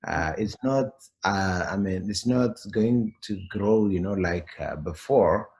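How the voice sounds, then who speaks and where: Male interviewee, deep register, Ethiopian accent. Unscripted, explanatory delivery with a halting flow. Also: darkish tone. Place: remote call.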